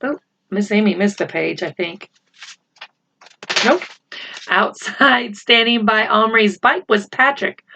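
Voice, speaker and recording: A woman reading a children's novel aloud in a deliberate storytelling manner. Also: mid-range voice, creaky, background noise. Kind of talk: read-aloud story narration with voiced dialogue. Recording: slightly dull, lo-fi home recording.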